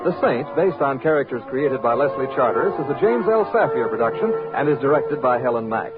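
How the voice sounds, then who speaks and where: A man's voice: mid-range voice, expressive, brisk. A man announcing in a 1950s network radio style, on an old broadcast recording. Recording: tinny old radio broadcast, music behind. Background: music.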